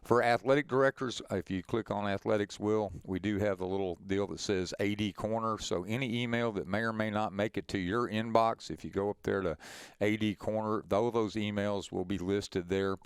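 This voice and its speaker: A middle-aged man with a fairly low, smooth voice speaks informally to members on a conference call. He is folksy and encouraging, and the sound is close and dry. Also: steady tone, normal speed, no echo. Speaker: head of a school athletics association leading a conference call.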